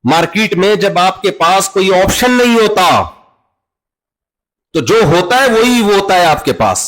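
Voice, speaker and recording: Man preaching a sermon in a pakistani urdu accent, chatty, plays out little back and forth scenes, voice a bit high. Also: animated, unhurried, fairly bright tone, poor audio. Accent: Urdu-speaking Pakistani. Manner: conversational, acts out dialogues with everyday examples. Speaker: Islamic preacher.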